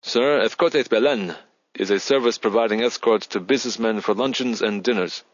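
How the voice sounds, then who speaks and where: A slick Spanish agency boss, voiced by a male narrator, courteous and wary. He talks formally like a polished salesman, pitched above the reader's own voice. The audiobook sound is dull and echoey. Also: normal speed, close to an everyday voice. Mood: cool, formal.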